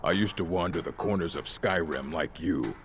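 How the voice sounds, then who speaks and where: An actor playing a gruff orc warrior in a video game, proud and dutiful, with a deep, gravelly voice and a blunt, formal way of speaking. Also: male voice, moderate pace, background noise, natural voice. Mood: reflective. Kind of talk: video game character dialogue. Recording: game music playing behind.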